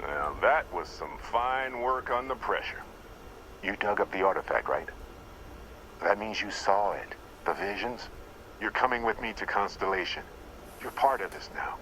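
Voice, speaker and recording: Charming, unflappable space adventurer, teasing and breezy, very animated pitch, faint noise behind. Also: male voice, measured pace, full character voice, rough recording. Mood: upbeat, assured. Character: jovial, roguish male space explorer, charming and unflappable. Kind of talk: video game voice acting.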